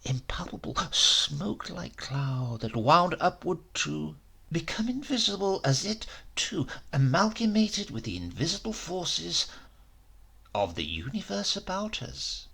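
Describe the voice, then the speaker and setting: A man performs a grim, obsessed male professor from a horror story. He speaks with grandiose intensity, his husky voice swooping widely and breaking into gravel. Every phrase is deliberate. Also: fairly high pitch, big pitch swings, sharp tone, heavily acted. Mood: proud, intent. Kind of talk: dramatised horror audiobook.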